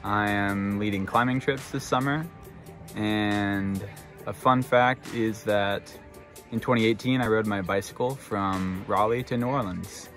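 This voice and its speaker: Young man, low-ish, matter-of-fact intro, faint music behind. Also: steady tone, a little slow, fairly bright tone. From North Carolina. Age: young adult. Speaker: climbing trip leader. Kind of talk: casual self-introduction. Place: outdoors under trees.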